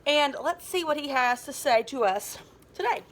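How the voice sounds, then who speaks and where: A woman's voice: high voice, expressive, moderate pace, a bit bright. A woman hosting a read-aloud of a children's novel, speaking in a chatty, upbeat, casual manner.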